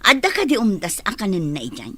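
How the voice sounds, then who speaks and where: A woman's voice: mid-range voice, wildly swooping pitch, fast, bright, forceful tone, breathy edge, full character voice. An actress playing a mean, scheming, scolding woman villain in an Ilocano radio drama. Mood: scornful, scolding.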